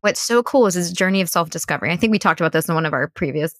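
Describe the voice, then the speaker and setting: A woman's voice: fairly low pitch, expressive, racing, fairly bright tone, no room sound, clean studio sound. An American woman speaking as a mental coach, giving earnest, encouraging advice in a conversational style. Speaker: mental coach, podcast guest.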